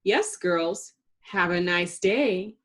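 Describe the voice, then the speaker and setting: A woman voicing an eerie, creepy male cartoon villain in a children's storybook, with a snarling, drawn-out delivery. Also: lowish voice. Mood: menacing.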